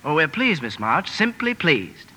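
An actor playing a polite, well-bred young gentleman in a period drama, courteous and mannered. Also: fairly high pitch, big pitch swings, a little slow, full character voice. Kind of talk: period radio drama acting.

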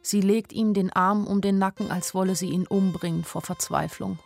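A woman's voice: medium pitch, steady tone, normal speed, vocal fry, natural voice. An actress plays a young woman narrating a literary radio drama from inside her own head. Her voice sits in the middle register, anxious and inwardly urgent, in short plain sentences. Faint music runs behind her. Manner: intimate inner monologue in short plain sentences. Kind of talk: literary radio play narration in present tense.

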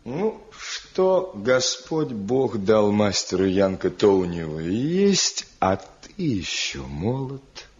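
An actor playing an old master woodcarver in a radio drama, gentle, proud and fatherly, often repeating his words. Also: lowish voice, sing-song, slow pace, hardly any pauses. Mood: fond, joyful. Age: older. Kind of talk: Soviet radio drama acting. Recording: dull 1970 radio play recording.

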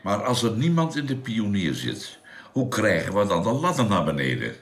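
A man's voice: fairly low pitch, wildly swooping pitch, normal speed. A man playing an alert, questioning spaceship crewman in a science-fiction radio drama.

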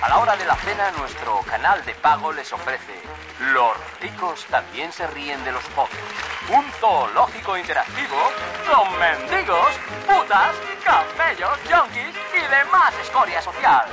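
An actor playing a manic, gleefully cruel TV presenter in a satirical radio drama, theatrical and mocking. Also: male voice, high-pitched, sing-song, measured pace. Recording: loud crowd and music behind.

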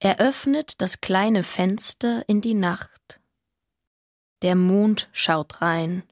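A woman playing a quiet storyteller in a poetic radio play, gentle and deliberate. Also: mid-range voice, no room sound, clean studio sound. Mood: hushed, dreamy. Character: quiet female storyteller of a night-time moon tale. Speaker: narrator.

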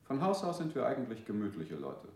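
A man's voice: lowish voice, wildly swooping pitch, fairly quick, darkish tone, very echoey, far from the mic. An actor playing a mock-polite male interrogator with insinuating questions. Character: falsely friendly male interrogator.

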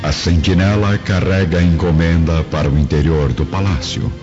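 A man's voice: deep voice, fairly even intonation, normal speed. A man narrating a radio drama in a dramatic, scripted storytelling style. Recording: muffled recording with music bed.

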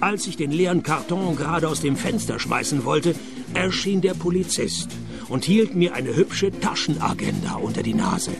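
A man's voice: on the high side, normal speed, creaky, over music. A man with a Swiss German accent playing a dry, sardonic private detective who narrates a radio play. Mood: deadpan. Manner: deadpan, sardonic.

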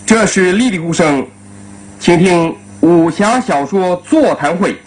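A man, formally hosting a radio panel in a measured, courteous way. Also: high voice, animated, soft background music. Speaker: radio host moderating a panel. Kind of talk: formal announcing, moderating a discussion. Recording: old radio recording, faint hum.

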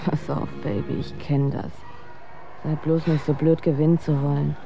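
A woman's voice: low voice, little pitch movement, moderate pace, vocal fry, muffled recording, over music. An actress playing a cynical, worldly-wise, foul-mouthed woman contestant in a radio drama, blunt and sardonic. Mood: jaded, knowing.

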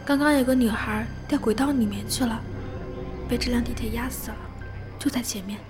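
Lady playing gossipy train passenger, highish voice, music loud behind her. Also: brisk, close to an everyday voice. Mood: shocked, gossipy. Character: gossiping female passenger reporting a grisly accident. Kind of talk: conversational horror drama acting.

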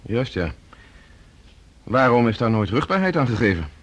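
An actor playing a cautious, sceptical and courteous conference delegate in a radio drama, formal and reserved. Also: male voice, mid-range voice, big pitch swings, moderate pace. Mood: wary, formal.

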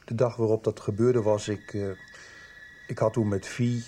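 An actor playing a patient, placating middle-aged husband, weary of arguing, in a Dutch radio soap. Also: male voice, fairly low pitch, level tone, moderate pace, dark tone, lightly acted. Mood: defensive. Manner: patient, soothing.

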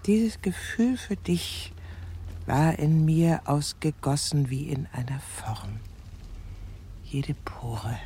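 A bitter, lovesick older woman in a radio play, gloating and tender by turns. Her voice is dark and creaky, with wide swings of pitch, and it sinks into intimate, breathy murmurs. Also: exaggerated voice. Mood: tender, wistful. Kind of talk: radio drama monologue.

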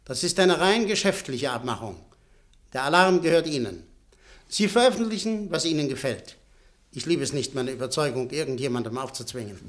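A man acting a smooth, calculating middle-aged businessman in a German radio play, coolly polite. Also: mid-range voice, expressive, fairly quick, a bit bright, close to an everyday voice. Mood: calm. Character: scheming middle-aged male press magnate. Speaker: businessman Buscan.

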